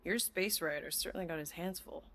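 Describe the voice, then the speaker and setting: A woman playing a warm, teasing mother of a teenage boy in a video game, with a husky, breathy voice. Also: fairly low pitch, brisk, slight background noise, close to an everyday voice. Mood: fond, nostalgic. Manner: teasing, affectionate. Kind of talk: naturalistic video game acting.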